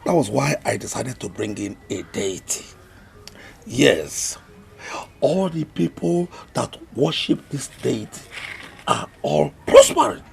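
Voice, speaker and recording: An actor playing a scheming uncle who speaks slowly and deliberately. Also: male voice, medium pitch, sing-song, soft background music, full character voice. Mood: persuasive. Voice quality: gravelly, slow and deliberate. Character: scheming uncle pushing a deity on his kin.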